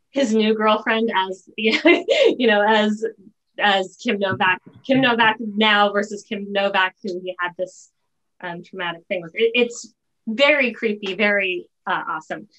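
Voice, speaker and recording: A middle-aged woman hosting a casual video-call interview, speaking in halting, emphatic phrases. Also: medium pitch, fairly bright tone, low-quality recording. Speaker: host, interviewer. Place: indoor home room, on a video call.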